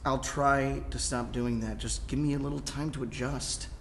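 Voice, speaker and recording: A man's voice: mid-range voice, fairly even intonation, normal speed, natural voice. A man acting a guilty, placating young lover in a live play reading, earnest and hesitant. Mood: apologetic. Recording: faint noise at live reading.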